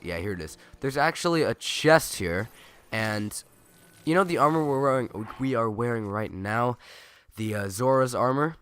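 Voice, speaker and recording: A young man with a cold, his nose stuffed and his voice raspy, rambling chattily and correcting himself as he comments on a video game. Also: mid-range voice, fairly bright tone. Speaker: let's play gamer. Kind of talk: casual gameplay commentary.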